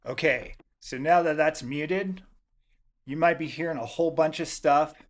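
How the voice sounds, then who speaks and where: A man hosting a software tutorial, giving informal step-by-step instructions in a casual way. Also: fairly high pitch, normal speed. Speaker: tutorial host.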